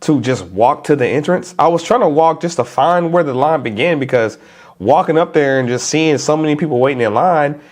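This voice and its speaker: A man with a stuffy nose, talking casually to camera as a vlogger in a relaxed, chatty, informal way. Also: a little high, a little fast.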